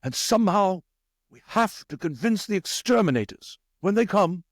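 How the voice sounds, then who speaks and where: An earnest older rat leader in a storybook, acted out by a man, pitched high with sweeping intonation. He is measured and deliberate, commanding and probing. The recording is close and dry. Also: animated, dry recording. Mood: grave, resolute. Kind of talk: audiobook character voice.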